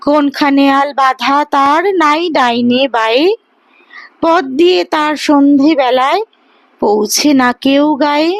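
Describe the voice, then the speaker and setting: Female poetry reciter, high voice, unhurried childlike delivery, close dry recording. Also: creaky, no echo, low-quality recording. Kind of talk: expressive Bengali poem recitation in a child's voice.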